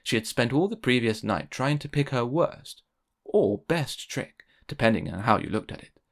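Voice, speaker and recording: A man narrating a children's storybook aloud in an expressive read-aloud style. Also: medium pitch, normal speed. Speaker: storybook reader.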